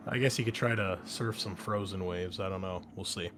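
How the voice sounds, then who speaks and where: Male game master and host, casual chatty delivery, fairly low voice, noticeably noisy recording. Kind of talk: casual chat introducing a tabletop game session. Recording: clear background noise.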